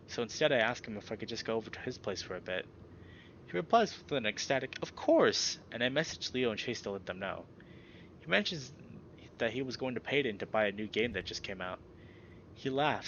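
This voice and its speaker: A man's voice: on the low side, muffled recording, a little hiss or hum. A man hosting a let's play, reading a visual novel aloud and commenting casually and expressively. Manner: expressive reactions, swears casually.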